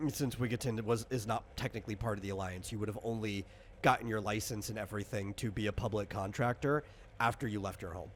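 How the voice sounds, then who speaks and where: A man's voice: lowish voice, fairly even intonation, quick pace, slight background noise. A man casually walking a player through a tabletop game as game master, rambling, explanatory and freely opinionated.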